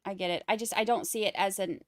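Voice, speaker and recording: A woman's voice: mid-range voice, fairly even intonation, quick pace, dry recording, studio quality. A woman with a Boston accent hosting a live trial commentary stream, chatting wryly and reading out viewer comments. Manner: chatty, wry, rambling asides.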